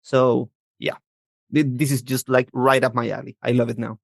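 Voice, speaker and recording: A man's voice: medium pitch, little pitch movement, moderate pace, vocal fry, dry recording, clean studio sound. A man in his thirties from Chile, a native Spanish speaker, chatting as a podcast guest and often searching for words. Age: 30s. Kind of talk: casual interview chat.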